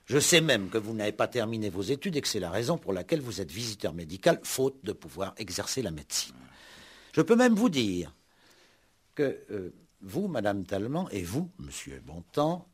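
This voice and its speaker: An actor playing a shrewd, ironic police commissioner in a 1960s French radio play, speaking with deliberate, mock-courteous drama. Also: male voice, on the low side, sing-song, breathy edge. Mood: pointedly accusing. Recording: old 1960s radio recording.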